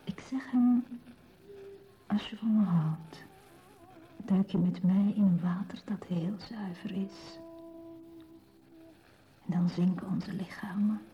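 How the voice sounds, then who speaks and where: A woman's voice: mid-range voice, slow, long pauses. An actress playing a grave, dreamy woman in a poetic radio play, speaking solemnly and lyrically in a dull lo-fi recording. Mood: dreamy, hushed.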